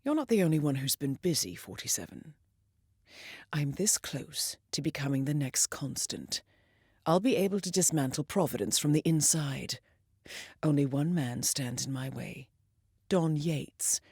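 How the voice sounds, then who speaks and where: A woman playing a composed, calculating intelligence handler in a video game, giving measured, precise mission briefings with dry, understated wit. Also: low voice, expressive, darkish tone, breathy edge, no room sound, exaggerated voice. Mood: quiet, resolute.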